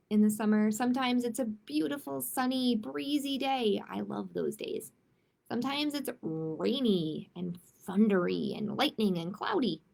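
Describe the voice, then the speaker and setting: A woman reads picture books aloud for a children's storytime in a fairly high voice with a noticeably creaky edge. Her delivery is expressive and simple. She slips into chatty explanations and checks in with her young listeners as she goes. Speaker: library storytime host.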